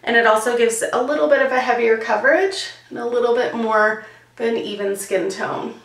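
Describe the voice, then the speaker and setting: A woman's voice: a little high, steady tone, brisk, fairly bright tone. Froggy-voiced woman vlogging. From Canada. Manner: chatty and jokey, demonstrating while talking. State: hoarse, froggy voice. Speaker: beauty vlogger. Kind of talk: casual product review. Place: small bright bedroom, indoors. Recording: roomy, slightly distant camera sound.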